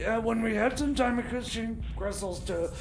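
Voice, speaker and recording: A man's voice: very high-pitched, normal speed. A man voicing a frazzled, scatterbrained time machine mechanic who stammers and rambles in a radio comedy. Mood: anxious, defensive. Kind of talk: improvised comedy radio theater.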